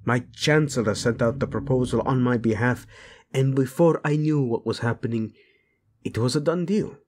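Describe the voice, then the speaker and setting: A male voice actor playing a brooding young warlord prince who speaks softly and often drops to a whisper to his bride. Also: mid-range voice, normal speed, close to an everyday voice. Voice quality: husky, soft-spoken, often drops to a whisper. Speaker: audio roleplay voice actor. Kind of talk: audio roleplay acting.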